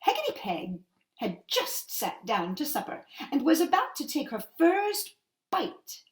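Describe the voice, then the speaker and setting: A woman's voice: sits high, huge pitch swings, unhurried, reverberant, noisy background. A woman reading a children's story expressively, with dramatic, emphatic phrasing.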